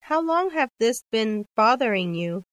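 A woman's voice: a little high, sing-song, unhurried. A woman carefully reading scripted dialogues and a passage for an English listening test. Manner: clear, careful textbook delivery.

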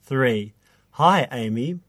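A man's voice: mid-range voice, lively intonation, drawn-out words, very noisy. A man reading scripted English listening exercises with careful enunciation, as on a language-lesson recording. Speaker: English listening test reader.

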